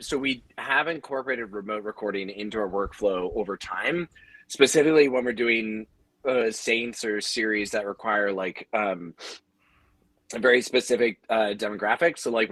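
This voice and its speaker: A man's voice: lowish voice, normal speed, a bit bright, dry recording, poor audio. Man explaining, dry close sound. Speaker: audio drama producer on a panel. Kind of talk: conversational panel talk.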